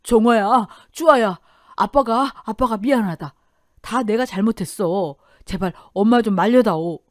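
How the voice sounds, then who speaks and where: A woman's voice: a little high, a little slow, no echo, studio quality, natural voice. Woman as a whiny, pleading older husband. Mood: tearful pleading. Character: pathetic cheating older husband, whimpering and pleading.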